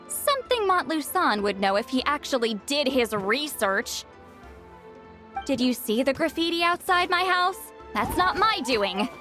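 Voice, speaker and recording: Haughty shaman girl from a game, high voice, swoopy, easily flustered, music under it. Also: a bit bright. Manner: haughty, boastful, tsundere defensiveness. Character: proud, haughty young-sounding great shaman woman, easily flustered. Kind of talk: video game voice acting.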